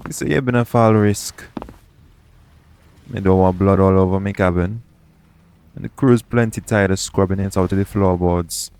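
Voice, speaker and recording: A man acting a shrewd, smug pirate captain in an audio roleplay, with a taunting, deliberate, persuasive way of talking. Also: on the low side, a little mellow, smooth, natural voice. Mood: gruff, dismissive.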